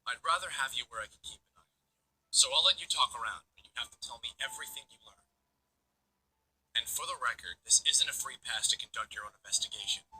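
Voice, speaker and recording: An actor playing a stern, wry male police detective in a visual novel game, with a husky voice and firm, matter-of-fact authority. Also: mid-range voice, animated, quick pace, bright, forceful tone, slight background noise, poor audio. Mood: stern, measured.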